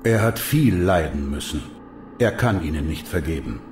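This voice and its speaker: An actor playing an ancient, noble and wise vampire mentor in a video game, speaking in a solemn, measured, sorrowful way. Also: male voice, deep voice, lively intonation, roomy sound, slight background noise. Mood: sorrowful, forgiving. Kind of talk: dramatic scripted game dialogue.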